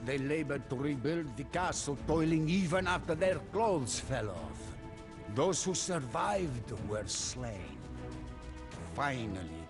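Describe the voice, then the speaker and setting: Slow, dramatic male storyteller over music. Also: a little high. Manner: measured, solemn, theatrical. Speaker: narrator. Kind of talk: dramatic scripted story narration. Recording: music behind the voice. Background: prominent music.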